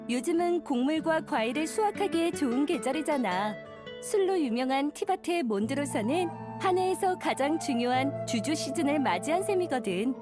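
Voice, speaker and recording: Game role: kindly young female receptionist, high-pitched and melodic, courteous explainer, music behind. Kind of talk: animated game dialogue acting.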